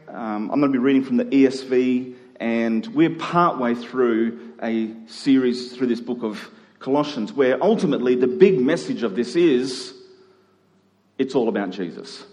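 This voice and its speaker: A man's fairly high voice delivers a sermon in a hall with long reverb. He talks conversationally to the congregation, leaning on key phrases with deliberate emphasis. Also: darkish tone. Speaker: preacher. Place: large reverberant room, live church service.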